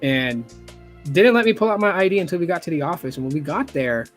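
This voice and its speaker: A man's voice: on the high side, animated, fast, faint music behind. Casual swearing man in his forties. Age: 40s. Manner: casual, swears freely, acts out quotes. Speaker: YouTube host. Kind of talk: casual podcast chat.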